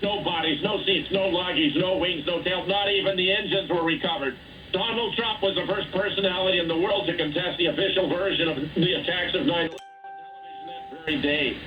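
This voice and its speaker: A man's voice: high voice, a little fast, crowd noise. A man giving spoken commentary on a shortwave radio broadcast. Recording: noisy, muffled shortwave radio broadcast, distant.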